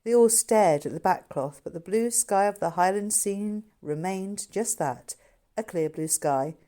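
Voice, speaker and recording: A woman author reading her own novel aloud in an expressive storybook narration style. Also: mid-range voice, measured pace.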